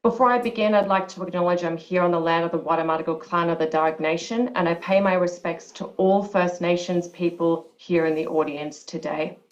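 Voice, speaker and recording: Woman, croaky, low-pitched, formal panel remarks, muffled video-call sound. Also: fairly even intonation, brisk, hardly any pauses. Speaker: online panelist.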